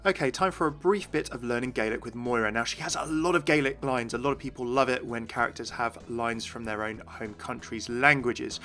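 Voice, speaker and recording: A man's voice: mid-range voice, brisk, few pauses, bright, forceful tone, soft background music. A man hosting a scripted game lore explainer, addressing viewers directly and with enthusiasm. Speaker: video host, game lore commentator.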